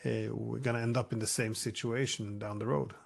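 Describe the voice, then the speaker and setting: A man's voice: on the low side, little pitch movement, moderate pace, vocal fry, breathy edge. A man hosting a political interview, phrasing his questions hesitantly as he searches for words. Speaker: interview host, professor.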